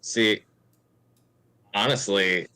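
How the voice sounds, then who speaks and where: A man's voice: lowish voice, fairly even intonation, drawn-out words, sharp tone, clean tone. A man in his thirties chatting casually, with a joking manner and wry exaggeration. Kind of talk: casual chat.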